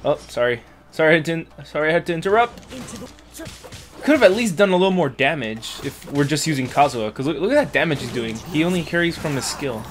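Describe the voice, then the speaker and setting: A man's voice: a little high, expressive, fast. A man doing casual, joking gameplay commentary with plenty of slang and swearing, as a streamer. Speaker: gaming streamer.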